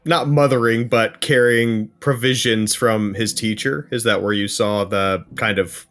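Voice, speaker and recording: A man's voice: lowish voice, steady tone, measured pace, fairly bright tone. A man on a discussion panel asking probing questions in a conversational style.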